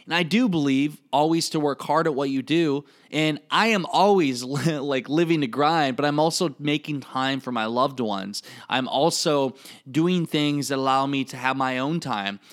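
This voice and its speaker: A man's voice: medium pitch, a bit bright. A man with an Iowa Midwestern accent speaks informally and emphatically, as a podcast host giving a motivational solo monologue. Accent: Iowa Midwestern American. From Iowa, United States.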